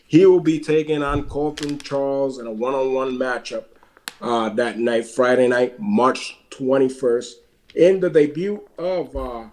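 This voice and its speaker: A man's voice: medium pitch, darkish tone. A man with a Puerto Rican accent co-hosting a wrestling podcast, plugging upcoming shows with dates and web addresses in a casual chat broken up by fillers. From Puerto Rico.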